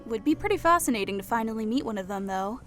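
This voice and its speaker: A man's voice: high voice, fast, soft background music, pitched above the performer's own voice. A man voicing a playful, teasing young woman companion in a raised, lighter tone. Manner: lively, teasing.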